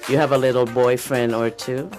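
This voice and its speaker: A woman's voice: rumbling low, steady tone, normal speed, darkish tone, faint music behind. A woman playing a domineering, leering middle-aged female pageant coach in a radio drama, pushy and scolding. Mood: leering, coaxing.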